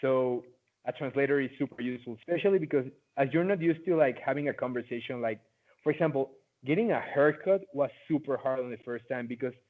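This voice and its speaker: A man's voice: medium pitch, quick pace. A young man in his late teens from Mexico with a Mexican Spanish accent, a native Spanish speaker, answering interview questions in a loose, chatty way full of "like" fillers, heard over a video call. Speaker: interviewee, former student. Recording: tinny phone-like line.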